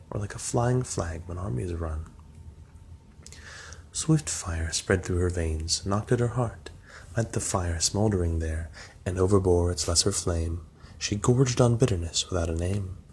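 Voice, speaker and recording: A man reads a long poem aloud in a quiet, measured ASMR manner. His voice sits very low and stays calm and hushed throughout. A faint hiss runs beneath the reading. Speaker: ASMR narrator. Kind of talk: soft-spoken ASMR poetry reading.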